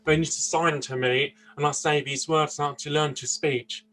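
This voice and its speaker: A man's voice: a little high, fairly even intonation, moderate pace, fairly bright tone. A young man in his twenties from Austria, answering interview questions earnestly, often restarting and searching for words. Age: 20s. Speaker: interview guest. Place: indoors, seated interview.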